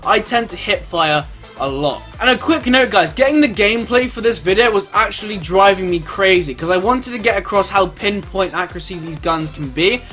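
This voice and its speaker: A man's voice: high voice, expressive, moderate pace, a bit bright, soft background music. A man giving an informal gameplay review commentary, chatting directly to his viewers. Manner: informal, addresses viewers as guys. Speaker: video game reviewer and host.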